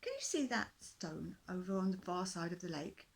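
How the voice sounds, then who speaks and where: A woman voicing the small male king of the fishes in a storybook reading, speaking high and earnestly. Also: big pitch swings, normal speed, vocal fry. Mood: earnest, pleading. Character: small worried but brave male fish king.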